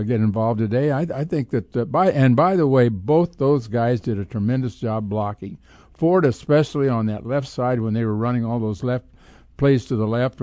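Older American man, dark relaxed voice. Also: mid-range voice, no echo. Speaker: sports radio co-host. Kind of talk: casual sports commentary. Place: radio studio.

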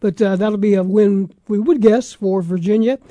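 A man's voice: sits high, fairly even intonation, normal speed, mellow, no room sound. A man with an American accent hosting casual sports talk, running through scores in a conversational, matter-of-fact way. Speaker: sports radio talk show host.

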